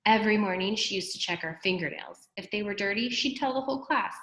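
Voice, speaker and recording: A jumpy, good-natured grown man from a school storybook, as a woman reads him. He speaks earnestly and with some drama. The room echoes clearly around the voice. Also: mid-range voice, fast, fairly bright tone, natural voice. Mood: haunted.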